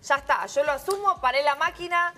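A woman's voice: high-pitched, brisk, pushed and bright. A woman in her twenties with an Argentine accent, a guest answering a talk show panel defensively and emphatically in a TV studio. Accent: Argentine Spanish. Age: 20s.

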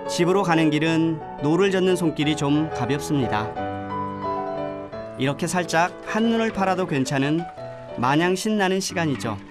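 A man narrating a travel documentary in a gentle, reflective storytelling voice. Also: on the high side, lively intonation, normal speed. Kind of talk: scripted voice-over narration. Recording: voice-over with music behind. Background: background music.

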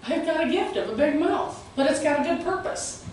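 A middle-aged woman preaching in a small church, her strong, carrying voice pitched a little high. She is picked up from across the room with no microphone, so the sound is distant and heavily echoey, with a faint hiss underneath. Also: a little fast. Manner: loud voice that carries, animated and gesturing. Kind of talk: sermon. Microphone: far camera, no microphone.

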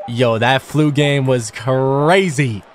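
A man's voice: mid-range voice, slow pace, noisy background. A young man giving casual, slangy, reactive commentary over his gameplay. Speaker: gaming video creator. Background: basketball video game sounds.

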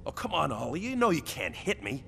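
A man's voice: fairly high pitch, huge pitch swings, a little fast, creaky, pushed and bright, big-room sound, a little hiss or hum, exaggerated voice. A man playing a conflicted young speedster hero, earnest with a wisecracking streak. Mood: urgent. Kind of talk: video game cutscene.

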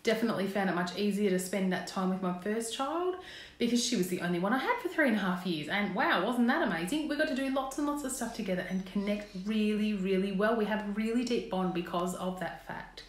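Australian woman, 30s, slightly croaky, earnest coach chatting to camera in a roomy home space. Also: medium pitch, expressive, fairly quick. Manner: earnest, encouraging, asks viewers questions. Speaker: parenting coach. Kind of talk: casual live-stream talk to viewers. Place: indoors at home, small room. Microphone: phone camera, close framing. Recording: roomy echo in a home room.

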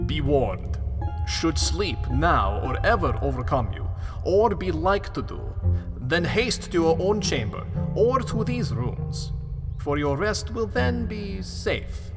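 An actor playing a courteous, menacing vampire count in a radio play, speaking in a halting, grandly formal manner. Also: male voice, on the high side, exaggerated voice. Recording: long hall reverb, music underneath. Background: music.

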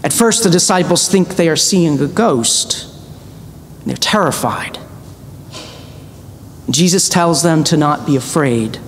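High-voiced man preaching in a church. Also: animated. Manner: measured, earnest preaching with slow emphatic phrases. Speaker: priest. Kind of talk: sermon. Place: church sanctuary, at a pulpit. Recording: reverberant church with faint rumble.

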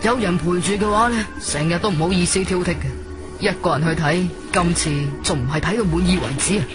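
A man's voice: sits high, fast, natural voice. An actor playing an eager, fussy male home buyer in a Cantonese radio drama, musing aloud to himself. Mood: pleased, hopeful. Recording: music bed behind studio voice.

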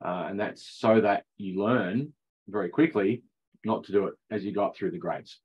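A man's voice: lowish voice, moderate pace, husky. A middle-aged man coaching ice hockey, explaining the rules in a chatty online session and laughing at his own jokes. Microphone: video call. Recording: dull lo-fi video call audio.

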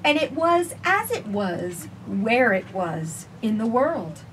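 High-pitched woman reading a picture book aloud. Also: big pitch swings, takes it slowly. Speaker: children's storytime reader. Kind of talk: expressive picture-book read-aloud with asides. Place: outdoors on a house porch. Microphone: camera a few feet away. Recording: distant camera mic, faint outdoor noise.

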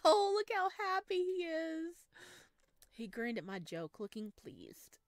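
A woman's voice: very high voice, sing-song, slow pace, no room sound, clean studio sound. A woman hosting a gaming video, reading a visual novel aloud and adding casual commentary.

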